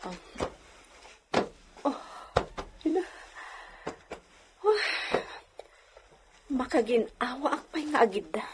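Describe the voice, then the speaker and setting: A woman plays an anxious young mistress of the house in an audio drama. Her voice is very high and plaintive, with sighing phrases. Clear background noise sits behind her, with clicks and insects. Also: big pitch swings, slow, pauses often, full character voice. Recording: noisy track with clicks and insects.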